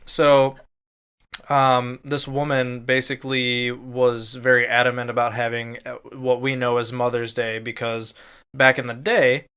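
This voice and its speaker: A man's voice: medium pitch, flat delivery, a little slow, fairly bright tone, clean tone. A man talking casually as a podcast co-host, informal and hedging as he explains things. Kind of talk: casual chat.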